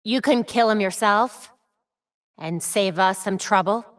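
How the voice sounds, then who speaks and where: A woman voicing a young American superheroine in a video game, sharp, determined and dryly witty. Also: mid-range voice, measured pace, no room sound, close to an everyday voice. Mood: stern, challenging. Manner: dry, wry asides.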